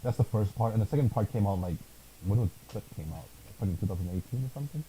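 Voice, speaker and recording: A man's voice: fairly low pitch, quick pace, a little hiss or hum, rough recording. A man co-hosting a podcast, chatting casually and joking, swearing freely. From Thailand. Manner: swears a lot, animated and joking. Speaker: podcast co-host. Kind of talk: casual chat.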